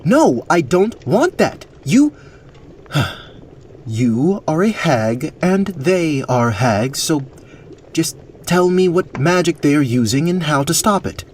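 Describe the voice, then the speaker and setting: A man's voice: on the high side, sing-song, slow pace, slight background noise. A man playing a proud but easily flustered young prince in an audio drama. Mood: indignant, commanding. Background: music.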